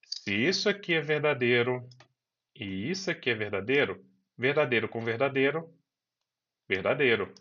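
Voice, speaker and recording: A man's voice: mid-range voice, animated, normal speed, a bit bright. A man teaching a programming lesson, talking students through each step and repeating key words. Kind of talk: screen-recorded coding lesson. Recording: dull lo-fi voice recording.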